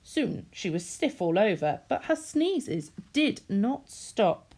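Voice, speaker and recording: A woman's voice: medium pitch, big pitch swings, slow pace, darkish tone, breathy edge. A woman reading a children's story expressively, in the manner of a teacher speaking to young listeners.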